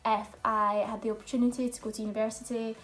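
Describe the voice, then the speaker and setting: Young woman vlogging, kinda highish voice, just chatting and telling stories. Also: flat delivery, fast talker, a bit bright. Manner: chatty, open storytelling with fillers. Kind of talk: casual Q&A vlog chat.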